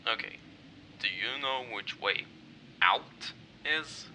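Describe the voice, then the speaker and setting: A man voicing a sardonic, bored masked soldier in a comic dub, with a dry deadpan manner. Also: mid-range voice, slow pace, exaggerated voice. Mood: flat. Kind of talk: amateur comic dub voice acting. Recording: track with clear background noise.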